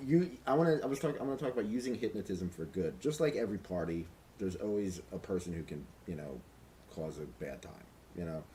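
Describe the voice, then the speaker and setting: A man interviewing a guest in a relaxed way, hesitating and joking between questions. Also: low voice, fast, a little hiss or hum. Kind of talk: casual interview.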